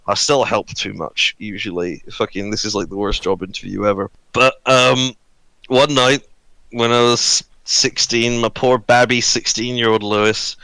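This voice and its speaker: A man in his early twenties chatting and telling personal stories on a podcast, halting, sweary and self-deprecating. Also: lowish voice, fairly even intonation, sharp tone, no room sound, low-quality recording. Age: early 20s. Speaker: podcast crew member. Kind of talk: casual podcast chat, personal storytelling. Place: online voice call recorded on own mic.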